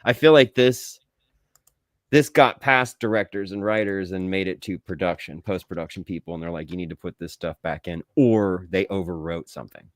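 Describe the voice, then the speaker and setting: A man's voice: low voice, normal speed, no room sound, clean studio sound. A man talking casually as a podcast co-host, slangy and emphatic, with some swearing. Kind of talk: casual movie review chat.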